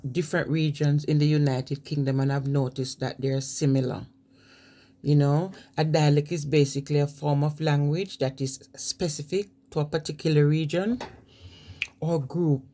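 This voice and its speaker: A woman from Jamaica, giving an informal talk on language to camera and reading from her notes with pauses and restarts. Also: deep voice, warm tone. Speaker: vlogger.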